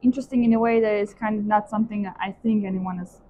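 A woman's voice: medium pitch, fairly even intonation, quick pace, warm tone. A young woman hosting a casual interview while strolling indoors. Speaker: host. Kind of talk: casual walk-and-talk interview. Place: large indoor hotel hallway and walkway, while walking. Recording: clearly noisy hallway ambience.

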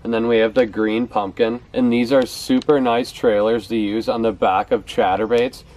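A man's voice: medium pitch, steady tone, moderate pace, darkish tone, poor audio. A young man talking casually to camera on a porch outdoors, showing his favorite fishing baits and often repeating words for emphasis. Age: young adult. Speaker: fishing vlogger. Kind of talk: casual product talk to camera. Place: outdoors on a house's back porch steps.

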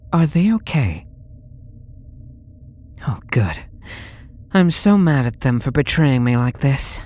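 A man's voice: mid-range voice, a little mellow. A young man playing a possessive, lovesick stalker under interrogation in an ASMR audio roleplay, coy, pleading and theatrical, drawing out his words. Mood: yearning, obsessive. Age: young adult.

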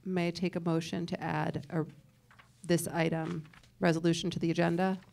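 A woman chairing a public board meeting, briskly calling motions and reading resolutions aloud in a large meeting room. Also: fairly low pitch, flat delivery, gravelly, a little mellow. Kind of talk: formal meeting procedure.

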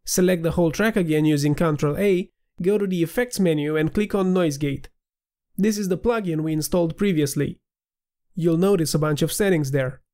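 Male tutorial narrator, a touch nasal, higher pitch, clear and steady. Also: moderate pace. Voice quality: slightly nasal. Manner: clear, steady instructional delivery. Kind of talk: scripted screen-recording tutorial voiceover.

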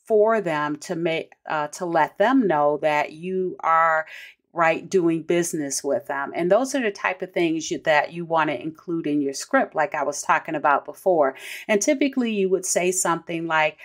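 Middle-aged American woman, lowish voice, explaining. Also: normal speed. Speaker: podcast host. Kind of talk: conversational solo podcast explainer.

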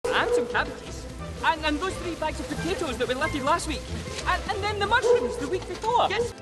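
A man playing an earnest, bumbling young adventurer in a fantasy film, babbling eagerly and haltingly. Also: extremely high pitch, animated. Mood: flustered, eager. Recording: film dialogue under loud music.

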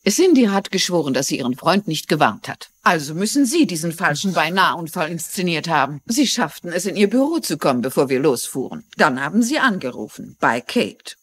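A shrewd middle-aged woman mystery writer in a TV crime drama. Her voice sits fairly low and moves with lively intonation. She is courteous and articulate, even when accusing. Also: a little fast, hardly any pauses, breathy edge, no echo, exaggerated voice. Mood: firm, accusing. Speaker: actress in a German dub. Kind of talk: dubbed TV mystery dialogue.

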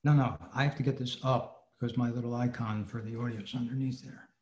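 A man giving an informal talk as a club presenter on a video call, halting and hesitant. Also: mid-range voice, fairly even intonation, darkish tone, highs cut off, slight background noise. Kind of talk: halting informal lecture over slides. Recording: roomy video-call audio.

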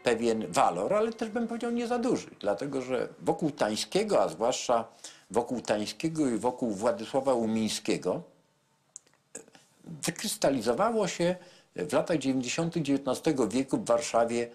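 Husky older man, lively explaining. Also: mid-range voice, moderate pace. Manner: explanatory, with many hesitations. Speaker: interviewed historian. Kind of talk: interview explanation. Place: small wooden boat cabin.

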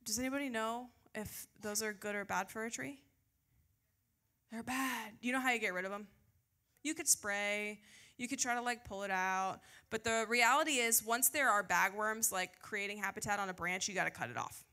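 A woman's voice: mid-range voice, moderate pace, bright, forceful tone. An American woman preaching as a pastor in an informal, self-deprecating way, mixing scripture reading with chatty questions to her listeners, on a handheld mic. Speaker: pastor preaching to a youth group. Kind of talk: conversational sermon with scripture reading. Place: church stage before a seated audience. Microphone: handheld microphone.

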